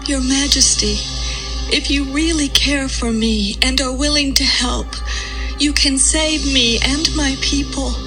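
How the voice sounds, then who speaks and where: A woman's voice: sits high, fairly even intonation, bright, forceful tone, soft background music, exaggerated voice. An actress playing an earnest, pleading queen in a dramatised audio Bible. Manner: slow, pleading delivery. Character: young pleading queen, earnest, tearful, devoted to her people.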